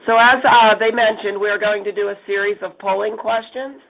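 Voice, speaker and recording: A middle-aged woman with a raspy voice, hoarse from a cold, giving a webinar presentation as a nursing executive. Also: medium pitch, steady tone, moderate pace. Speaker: chief nursing officer presenting. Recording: phone-line audio.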